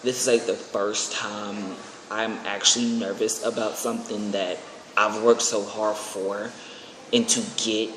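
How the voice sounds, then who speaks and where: A man's voice: lowish voice, flat delivery, measured pace, fairly bright tone. A man with an American accent talking casually in a rambling, informal vlog style, often restarting his phrases. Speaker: aspiring singer and video creator. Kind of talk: casual personal vlog announcement. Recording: very echoey, reverberant room with faint noise.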